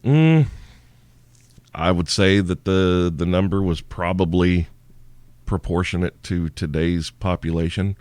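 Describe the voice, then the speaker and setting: A man with a very low voice riffs through listener questions, crude and irreverent, rambling from one joke to the next. He sounds sleepy and worn out. The recording is dry and close, with no room sound. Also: measured pace, a little mellow, dry recording. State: sleepy, tired. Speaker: podcast host. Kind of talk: crude solo Q&A podcast.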